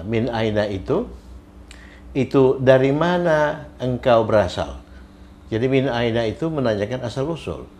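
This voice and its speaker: Slow, patient male Arabic teacher. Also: medium pitch, a little mellow, slight background noise. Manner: patient, repeats each example and its translation. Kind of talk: recorded grammar lesson.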